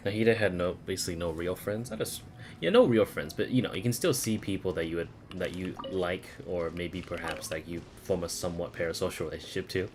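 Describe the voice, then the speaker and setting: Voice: low-pitched, brisk, slight background noise. A game streamer giving casual, rambling live commentary on a playthrough.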